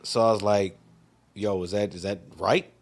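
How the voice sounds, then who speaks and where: A man's voice: lowish voice, fairly even intonation, moderate pace. A man co-hosting a casual livestream chat, telling a laid-back story with lots of fillers and restarts. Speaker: co-host.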